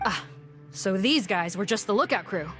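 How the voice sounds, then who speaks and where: A woman's voice: mid-range voice, animated, moderate pace, a bit bright, soft background music, lightly acted. A woman voicing a composed police captain. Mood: serious. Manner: composed, decisive, giving orders. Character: serious young woman police captain, dutiful.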